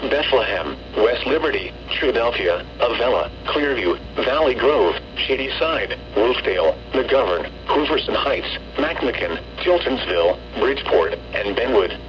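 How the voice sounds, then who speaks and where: Automated male announcer, mid-pitched text-to-speech, formal and measured, reading storm warnings over dull, faintly noisy radio. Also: expressive. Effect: weather radio broadcast. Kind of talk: scripted severe weather warning bulletin. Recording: muffled lo-fi radio with hum, noise.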